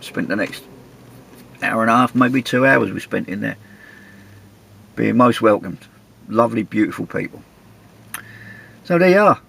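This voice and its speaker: An English man, middle-aged, with a gravelly, husky voice, telling a travel story in a chatty, wry, self-mocking way. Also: medium pitch, animated, moderate pace, long pauses, a bit bright. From England. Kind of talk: casual anecdotal storytelling.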